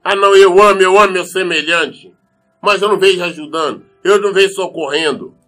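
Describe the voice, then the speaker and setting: High-pitched Brazilian man preaching a sermon. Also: a little fast, pushed and bright, soft background music, rough recording. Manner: earnest, admonishing; emphatic, repeats phrases for stress. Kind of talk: sermon with scripture reading.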